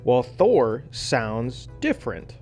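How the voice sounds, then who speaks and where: A man narrates a scripted commentary video in a casual, opinionated manner. His voice sits at a medium pitch, with faint music playing underneath. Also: very animated, slow, a little mellow.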